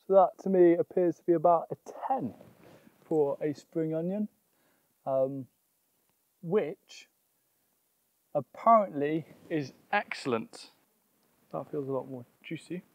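A man's voice: a little high, takes it slowly, pauses often, a little mellow, dry recording, muffled recording. A young man presenting a casual gardening vlog outdoors, explaining things and often thinking aloud. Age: young adult.

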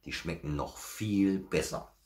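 A man's voice: low-pitched, measured pace, a little mellow. A man voicing a teasing, know-it-all young male wolf in a children's storybook reading, always one-upping.

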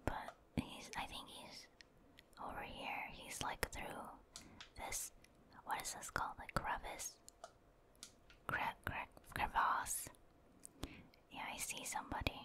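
A woman speaks entirely in a whisper, at a very unhurried pace. She gives ASMR-style commentary on a video game, feeling her way through it unscripted and thinking out loud with some hesitation.

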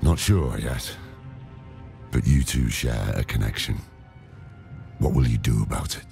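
A man playing a gruff, world-weary old hermit scholar with a dry wit, in a dramatic video game cutscene. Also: heavily acted. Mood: resolute. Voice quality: very deep, gravelly. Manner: slow, weighty, sardonic. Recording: loud music behind the voice.

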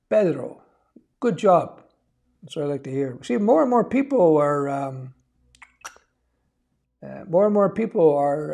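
Middle-aged male streamer, fairly high-set but mellow and gravelly, informal and reassuring career-mentor delivery. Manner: informal, rambling, offhand, reassuring. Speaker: live stream host, veteran developer giving career advice. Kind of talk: casual live-stream chat, reading and answering viewer comments. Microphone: lapel mic.

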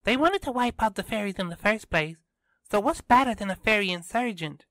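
Man voicing an excitable, high-pitched teenage girl. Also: a little fast, dry recording, studio quality. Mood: indignant, excited. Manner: emphatic, exclaiming.

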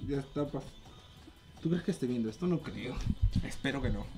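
A Peruvian man in his twenties chats as a radio host in a medium-pitched voice. He is informal and repeats his words, speaking into a studio mic with music playing behind. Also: lively intonation, fairly quick, mellow. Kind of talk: casual on-air chat. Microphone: broadcast studio microphone on arm.

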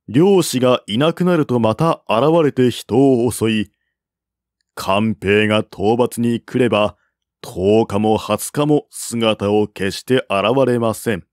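A man reading a historical tale as a narrator, in a measured, formal storytelling manner. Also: fairly low pitch, a little mellow, no echo, studio quality. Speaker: narrator, audiobook-style reader. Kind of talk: scripted narration of a historical Chinese novel.

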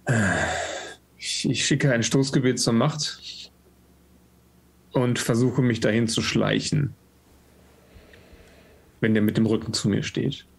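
A man's voice: mid-range voice, level tone, takes it slowly, gravelly, pauses often, slightly rough. A man, chatting casually and flippantly as he describes his character's actions in a role-playing game. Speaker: tabletop role-playing player. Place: indoors at home, on a video call.

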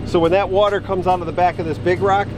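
A man's voice: high voice, level tone, quick pace, background noise. A man in his fifties plainly explains the work at an outdoor job site to a camera a few feet away. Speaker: helper on the build crew. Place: outdoors at a backyard construction site.

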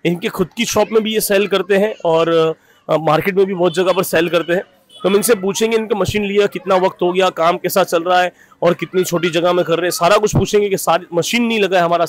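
A man hosting an on-site customer review video for a company, talking upbeat and energetic as he tours a small bottling room. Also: sits high. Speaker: company representative host.

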